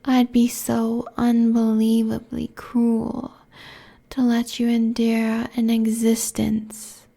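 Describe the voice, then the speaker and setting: A woman's voice: a little high, monotone, warm tone, full character voice. A woman playing a seductive, cruel succubus queen in an intimate ASMR roleplay, whispering haughtily close to a binaural microphone. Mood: amused, cruel. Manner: breathy, slow, condescending whispering.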